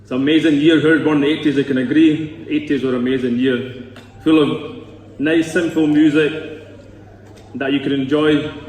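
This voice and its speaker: A man's voice: on the high side, fairly even intonation, normal speed, long pauses, a little mellow, a little hiss or hum. Earnest Scottish man in echoey hall. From Scotland. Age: 30s. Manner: earnest, rambling, with asides to the audience. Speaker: speaker at a Sikh gurdwara. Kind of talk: personal talk to a congregation. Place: large echoey gurdwara hall. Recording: echoey hall recorded from a distance.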